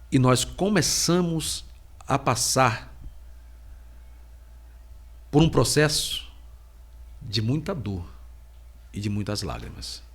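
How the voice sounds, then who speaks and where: A middle-aged man, a podcast host and preacher, giving a slow, halting personal faith testimony while he has a cold. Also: mid-range voice. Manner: slow, halting, heavy pauses.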